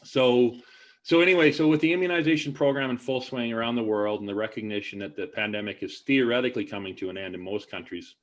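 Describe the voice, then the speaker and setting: A man with a low voice hosts an online webinar over a video call. He talks haltingly, restarting sentences and leaning on fillers as he sets things up. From Canada. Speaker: webinar host.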